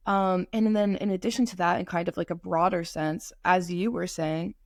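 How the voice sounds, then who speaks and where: A young woman co-hosting a podcast in a casual conversational way, halting often with fillers and restarts. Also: lowish voice, steady tone, no echo, studio quality. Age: young adult. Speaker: podcast co-host.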